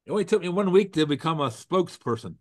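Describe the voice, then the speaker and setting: A man's voice: fairly high pitch, expressive, quick pace. A man with a froggy voice from a cold hosts a casual show over a video call. He rambles, restarts his sentences and drops corny puns. The sound is close and dry, with no room echo. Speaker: host. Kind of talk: casual chat with jokes.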